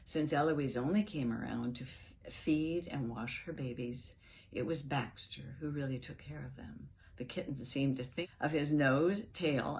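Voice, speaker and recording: A woman reading a children's picture book aloud, expressively, stressing key words and adding asides as storyteller. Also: low-pitched. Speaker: storyteller, reading organisation's founder.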